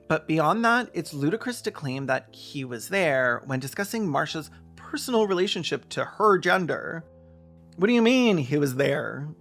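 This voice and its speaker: A man's voice: a little high, a bit bright. A man narrating a scripted video essay with casual asides, in an emphatic, opinionated way. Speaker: video essayist and podcast host.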